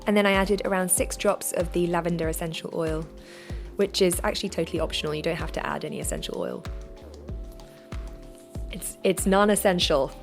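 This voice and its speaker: Woman, slightly croaky, chatty self-deprecating vlogger, faint music underneath. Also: on the low side, fairly quick, long pauses. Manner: cheerful, self-deprecating, conversational. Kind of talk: chatty sponsored how-to vlog.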